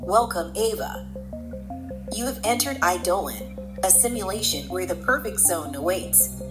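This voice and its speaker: A woman playing the calm, ominous, enigmatic guide of a virtual simulation in a radio drama, speaking in a measured, solemn way. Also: on the low side, over music. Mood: solemn welcome.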